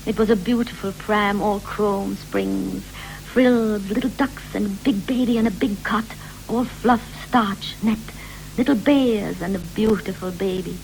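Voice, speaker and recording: Dutiful wife and mother, mid-range voice, measured and clipped, poetic 1960s radio drama with slight background noise. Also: medium pitch, animated, a little mellow. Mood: tender. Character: dutiful, busy housewife and doting mother. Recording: noisy, humming 1960s radio recording.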